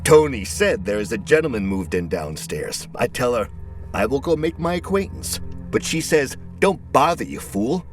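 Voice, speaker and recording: A man's voice: mid-range voice, sing-song, normal speed, faint music behind, pitched above the performer's own voice, natural voice. A man voicing a plump, shabby middle-aged male neighbor in a story, eager, rambling and exclamatory. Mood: eager, pushy.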